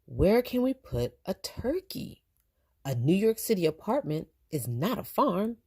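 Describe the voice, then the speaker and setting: A woman's voice: medium pitch, sing-song, a little slow, dark tone, slightly rough, pitched above the performer's own voice, exaggerated voice. A woman voicing a practical, skeptical grandfather with emphatic delivery in a children's storybook. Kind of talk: read-aloud children's storybook dialogue.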